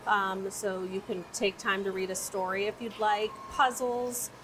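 A woman's voice: medium pitch, little pitch movement, a little slow. A woman, the director of a children's museum, explaining its play areas in an upbeat interview with frequent fillers. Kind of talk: casual interview. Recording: audible background noise.

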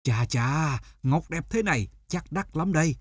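An actor voicing an eager, wide-eyed young student in an animated fairy tale, full of admiring exclamations. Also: male voice, on the high side, a little slow, slight background noise. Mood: wonder.

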